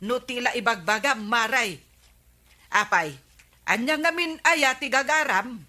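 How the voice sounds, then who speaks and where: A woman playing a sharp-tongued, suspicious village wife in an Ilocano radio comedy drama, with an Ilocano accent and pointed, indignant questioning. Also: on the high side, animated, measured pace.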